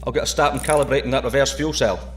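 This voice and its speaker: An actor playing a joking Scottish submarine pilot who misses home, in an audio drama. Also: male voice, medium pitch, fairly even intonation, fast, slight background noise, lightly acted. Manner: cheeky, joking.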